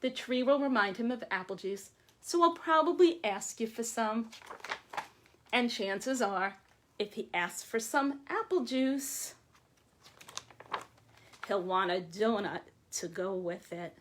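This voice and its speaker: High-voiced woman reading storytime, noisy recording. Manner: expressive, deliberate phrasing to children. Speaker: children's librarian hosting storytime. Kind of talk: read-aloud storytime for young children. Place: small room at home or office, by window. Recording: clearly noisy home video with clicks.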